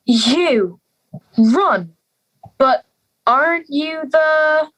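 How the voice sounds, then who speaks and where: A woman's voice: high voice, animated, drawn-out words, a bit bright, exaggerated voice, poor audio. A young woman playing an anxious young schoolgirl in a fairy-tale audio drama, hesitant and pleading. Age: young adult.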